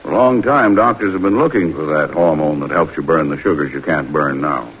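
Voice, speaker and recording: Very deep-voiced, kindly doctor. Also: male voice, a little fast, a bit bright, a little hiss or hum, low-quality recording. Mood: reassuring. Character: tired, kindly, candid family doctor narrating his rounds. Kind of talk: 1940s radio acting.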